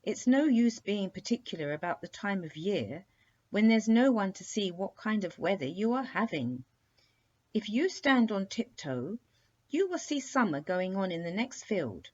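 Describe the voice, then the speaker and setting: A woman's voice: medium pitch, sing-song, unhurried, a little mellow, lo-fi sound, voice pushed up. A woman voicing a chatty, easygoing fish in a children's fairy tale, explaining things in a breezy, matter-of-fact way.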